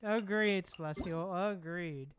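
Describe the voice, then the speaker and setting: A woman's voice: fairly low pitch, slow pace, slight background noise. A woman streamer reacting casually to a game, laid-back and often repeating her phrases.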